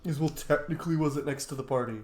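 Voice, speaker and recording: A man's voice: a little high, quick pace, darkish tone. A man who is sick, giving informal, casual commentary as he plays a video game. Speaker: gaming streamer. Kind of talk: casual let's-play commentary. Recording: roomy, with faint music behind.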